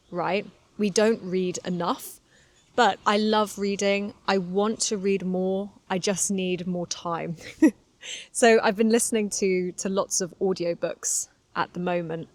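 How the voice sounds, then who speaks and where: A woman's voice: mid-range voice, no room sound. A woman in her twenties from Cornwall with a Southern English accent, a touch of London, chatting candidly as an English teacher on a podcast for learners, with frequent laughs and fillers. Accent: Southern English, slightly London. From Cornwall, England. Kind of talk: relaxed solo podcast monologue for learners.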